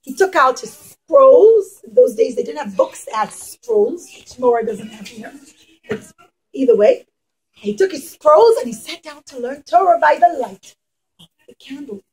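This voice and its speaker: A woman with a high voice tells a story to a group of small children. She works as a teacher-storyteller, stressing key words, repeating phrases and asking the children questions as she goes. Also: sing-song, a little slow, a little mellow, poor audio. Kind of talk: interactive puppet-show storytelling for young children.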